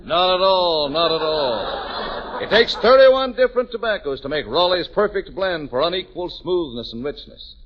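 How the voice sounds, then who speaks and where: A man's voice: fairly high pitch, big pitch swings, normal speed, noisy background. A man playing a blustering football coach giving an emphatic pep talk in a 1940s radio comedy advertisement. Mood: scolding. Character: blustering football coach hectoring his team, pitching cigarettes. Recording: muffled husky-sounding 1940s radio recording.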